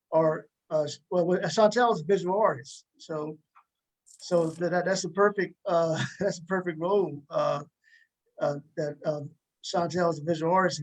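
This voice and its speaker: A man hosting a casual interview in a halting way, often repeating his words. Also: fairly high pitch, poor audio. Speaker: interview host.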